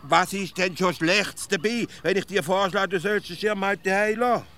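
A man's voice: high voice, moderate pace, pushed and bright. A man playing a wry, practical provincial Swiss philosopher in a comic radio play, with a Swiss German accent and a questioning, insistent manner that turns nettled easily. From Switzerland. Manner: questioning, matter-of-fact, dryly insistent.

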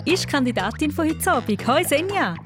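High-voiced Swiss German woman hosting radio. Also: sing-song, moderate pace, over music. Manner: encouraging, chatty. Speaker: radio quiz show host. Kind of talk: chatty children's radio quiz presenting.

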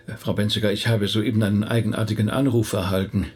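An actor playing a polite, nervous rabbi in a radio drama, hesitant and mildly indignant in manner. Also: male voice, lowish voice, flat delivery, fast talker, breathy edge.

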